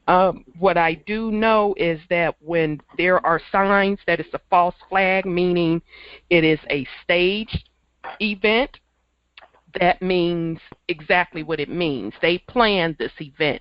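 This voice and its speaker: Hoarse laid-back radio host. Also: male voice, sits high, no room sound, highs cut off. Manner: laid-back, chuckling, folksy. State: hoarse from a cold. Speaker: host of a call-in internet radio show. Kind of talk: casual call-in radio chat.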